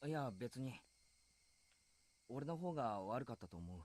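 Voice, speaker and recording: A man's voice: medium pitch, sing-song, brisk, darkish tone, no echo. A man acting an earnest, curious teenage boy in a Japanese visual novel. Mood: earnest, subdued.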